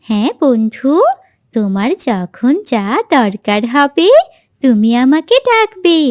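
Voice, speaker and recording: Woman voicing a gentle high-voiced magical peacock. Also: big pitch swings, takes it slowly, dry recording, clean studio sound. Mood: reassuring. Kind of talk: children's cartoon dialogue.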